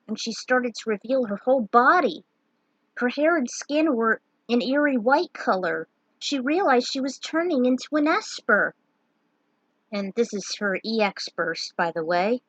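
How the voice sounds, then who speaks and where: A woman narrates a fantasy tale in a fairly high voice. Her delivery is dramatic and clearly read from a script, recorded dry with little room sound. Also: fairly bright tone, no room sound, poor audio. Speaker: storyteller reading fan fiction.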